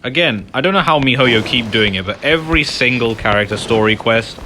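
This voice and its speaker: A man giving casual, low-key playthrough commentary as a gamer, often muttering to himself. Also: animated, fairly quick, pushed and bright, slight background noise. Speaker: gamer, channel host. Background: game music.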